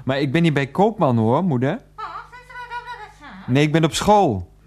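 An exasperated grown son in a nineties radio play. His pitch swings up and down as he protests and placates his mother on the phone. He talks haltingly and repeats himself. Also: expressive, darkish tone. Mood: chatty, dutiful. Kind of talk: 1990s Dutch radio play, one-sided phone call.

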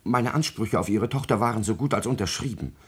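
An actor playing a stiff, self-important secretary and indignant suitor in a classic radio drama, speaking in a formal, pompous manner. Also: male voice, fairly low pitch, brisk, husky. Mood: pompous, offended. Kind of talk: classic German stage drama radio acting.

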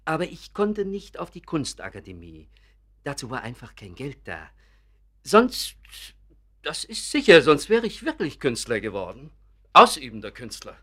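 A vain bookkeeper in a radio drama who sees himself as an artist. His medium-pitched, bright voice rises and falls dramatically. He hesitates, then insists with touchy self-importance. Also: wildly swooping pitch, normal speed, slightly rough, full character voice. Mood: aggrieved. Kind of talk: German radio play acting.

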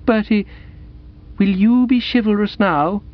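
A man voicing a dreamy, sentimental young woman with a gushing, halting, earnest delivery in a dramatised audiobook. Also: high-pitched, muffled recording, voice pushed up. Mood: soulful, gushing.

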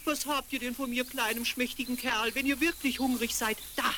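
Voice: steady tone, normal speed, natural voice. An actor voicing a screeching, ungrateful little old dwarf in a fairy-tale radio play for children. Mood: terrified pleading. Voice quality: screeching, shrill. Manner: scolding, indignant, quarrelsome. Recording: crackly 1970s vinyl record.

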